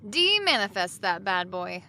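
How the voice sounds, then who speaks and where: Bright, animated, eager recruit. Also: female voice, mid-range voice, measured pace, heavily acted. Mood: resolved, eager. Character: eager, determined young female recruit to a utopian organization. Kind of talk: sci-fi audio drama acting.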